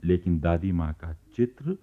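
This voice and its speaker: A man playing the reflective narrator of a radio play, telling the story in a measured, sympathetic way. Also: sits low, expressive. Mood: storytelling. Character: reflective male narrator, sympathetic observer of grandmother. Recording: tinny, narrow-band archival radio recording.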